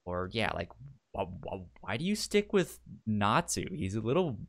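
A man with a somewhat low voice co-hosts a relaxed book podcast. He riffs on jokey ideas, often stammering over a word and starting it again before he gets it out. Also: normal speed. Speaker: podcast co-host. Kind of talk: casual book discussion.

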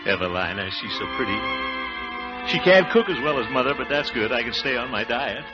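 A man's voice: mid-range voice, animated, a little fast, over loud music, lightly acted. A man playing a good-natured, bumbling mama's boy in his forties, chuckling and musing in 1940s radio comedy. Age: 40s. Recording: dull old radio recording.

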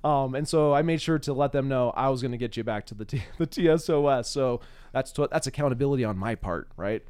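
A man's voice: medium pitch, fast talker, darkish tone, smooth. A man hosting a podcast, chatting informally and laughing easily as he interviews a guest. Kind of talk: casual interview chat.

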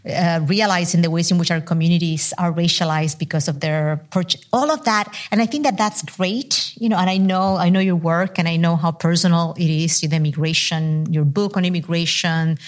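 A woman scholar speaking on an academic panel, for whom English is not her first language; candid, animated and self-deprecating. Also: lowish voice, normal speed, hardly any pauses.

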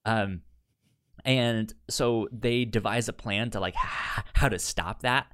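A man in a casual podcast chat as co-host, speaking haltingly and often repeating syllables and words. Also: lowish voice, a bit bright. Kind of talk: casual chat about sitcom episodes.

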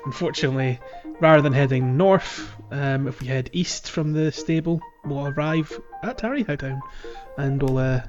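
A man's voice: medium pitch, normal speed. Male podcast host, mid-range voice, rambling explainer, casual chat, lo-fi sound with faint music. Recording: dull lo-fi sound.

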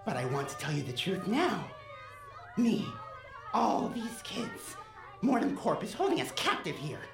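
Woman as an intense kid with wildly swinging pitch. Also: huge pitch swings, measured pace, vocal fry, over music, exaggerated voice. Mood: grave. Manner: earnest, urgent, dramatic. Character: intense, persuasive runaway child captive. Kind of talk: audio drama acting. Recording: roomy, echoing student audio drama.

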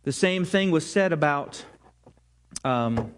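A man's voice: fairly high pitch, lively intonation, takes it slowly, a little hiss or hum. A man preaching a sermon to his congregation, earnest and rising to emphatic peaks. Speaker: pastor.

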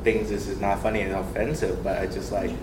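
A young man with a Thai accent speaks earnestly and animatedly, with a fairly low voice. He is sharing experiences in a group and fills his talk with "like" and "um". The recording is echoey, with clear ambient noise behind him. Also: fairly quick. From Thailand. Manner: earnest, animated, laughs often, many fillers. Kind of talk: personal sharing in a group discussion. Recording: roomy echo with clear background ambience.

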